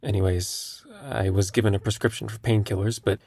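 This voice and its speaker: A man playing a terminally ill young patient in an intimate audio drama, speaking in a husky, creaky voice with a halting, understated manner. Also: lowish voice, steady tone, warm tone, natural voice. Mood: numb, resigned. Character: young terminally ill male hospital patient, numb, gently self-deprecating.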